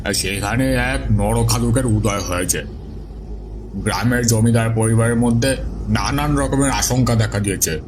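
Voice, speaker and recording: An injured village man played by an actor begs for help in a horror audio story. His fairly low voice is halting and pleading, with clearly audible ambient noise behind it. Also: lightly acted. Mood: desperate pleading. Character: desperate injured village man begging to be rescued.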